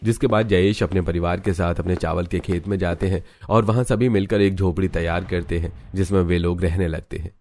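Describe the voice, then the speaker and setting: A man's voice: low voice, steady tone, a little fast, few pauses, darkish tone, a little hiss or hum. A man reading scripted story narration with music playing behind him. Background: background music.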